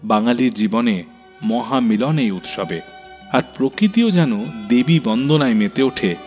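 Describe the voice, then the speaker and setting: A man hosting a radio show, reading a scripted narration with literary passages in a measured, expressive manner. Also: mid-range voice. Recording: long reverb, faint music behind. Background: soft music.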